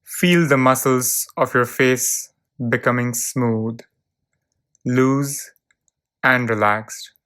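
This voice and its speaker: A narrator leading a guided visualization in a calm, soothing, measured way, leaving long pauses between short phrases. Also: medium pitch. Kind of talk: guided visualization, relaxation script.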